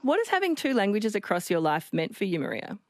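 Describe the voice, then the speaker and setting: A woman hosting a radio call-in show, introducing guests, taking calls and reading out listener texts in a friendly, brisk way. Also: medium pitch, big pitch swings, dry recording. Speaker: radio host. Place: radio studio. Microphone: studio microphone. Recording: dry studio sound.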